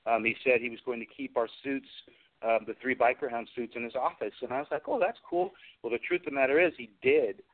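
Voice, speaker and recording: A man's voice: medium pitch, a little fast. A middle-aged man, a guest craftsman, telling chatty anecdotes in an interview and acting out bits of conversation as he goes. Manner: chatty, recounts conversations in quoted dialogue. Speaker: guest, special effects craftsman. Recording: tinny phone-line radio interview.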